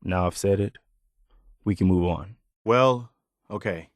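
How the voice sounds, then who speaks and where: An actor voicing an earnest, principled, loyal but weary militia officer in a video game, speaking in a sincere, measured, plain-spoken way. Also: male voice, low-pitched, no echo, studio quality. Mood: understanding, resigned. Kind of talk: video game character dialogue.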